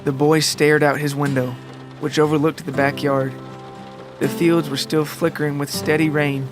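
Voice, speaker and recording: A man's voice: fairly high pitch, level tone, normal speed. A man hosting and narrating his own scripted storytelling podcast. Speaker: podcast writer, narrator and host. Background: guitar music and rain.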